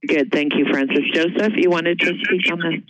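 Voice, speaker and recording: A man moderating an informal webinar discussion, speaking haltingly with pauses in mid-sentence. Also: fairly high pitch, fairly bright tone, no room sound. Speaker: panel moderator taking audience questions. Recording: dull, band-limited webinar call audio.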